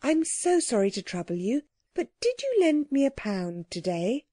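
A woman's voice: high voice, very animated, normal speed, warm tone, pitched above the performer's own voice. A woman voicing a polite, earnest young girl, well-mannered and tentative, in a storybook reading.

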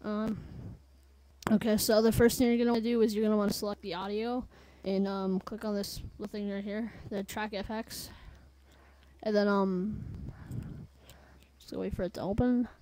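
A woman presenting a casual software tutorial in a halting, informal, offhand way. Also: mid-range voice, level tone, rough recording.

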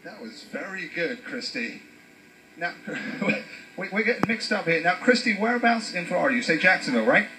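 A man's voice: high-pitched, big pitch swings, normal speed, noisy background. A man hosting a stage show as emcee, upbeat and coaxing, rousing the crowd into a handheld microphone. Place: cruise ship show stage. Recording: band music behind the voice. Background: live band music.

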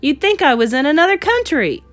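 A woman's voice: high voice, very animated, fairly quick, dry recording, voice pushed up. A kindly old street storyteller from a picture book, acted by a woman, wistful and a little grumbly, musing aloud in exclamations. Music plays behind the voice. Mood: bewildered, grumbling. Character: nostalgic, kindly old male Japanese storyteller, a bit grumbly. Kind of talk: storybook character voice in a read-aloud.

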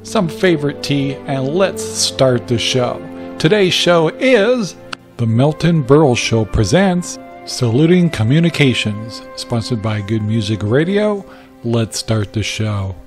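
A man opens a podcast in a slow, laid-back, inviting voice of middling pitch. Music plays beneath him. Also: big pitch swings. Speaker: podcast host. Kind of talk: relaxed podcast intro.

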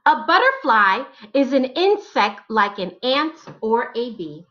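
Woman, 30s, teacher read-aloud: high, animated voice, deliberate phrasing, slightly muffled lo-fi home recording. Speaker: teacher reading picture books aloud. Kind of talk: slow, expressive read-aloud for children.

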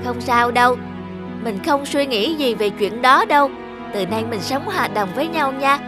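A woman plays a humble little porcelain bowl in a cartoon fable. The voice is fairly high, gentle and earnest, over background music. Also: lively intonation, moderate pace, close to an everyday voice. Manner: soft-hearted, earnest. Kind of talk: animated fable dialogue.

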